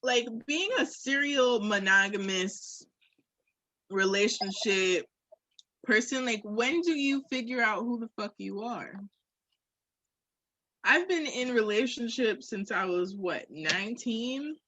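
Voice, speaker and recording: A woman's voice: a little high, lively intonation, takes it slowly, pushed and bright, no echo, rough recording. A woman in a candid, casual conversation, speaking in an emphatic, reflective way with frequent swearing. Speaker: podcast guest or co-host.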